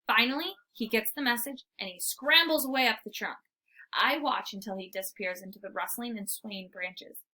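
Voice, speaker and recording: Woman, storybook reader, theatrical narration, high bright voice, very lively intonation. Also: normal speed, poor audio. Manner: animated, theatrical. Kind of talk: expressive read-aloud narration.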